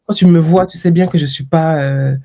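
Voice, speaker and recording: A woman from France, a podcast guest talking reflectively in a conversational interview, with lively quoted asides. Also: low-pitched, normal speed.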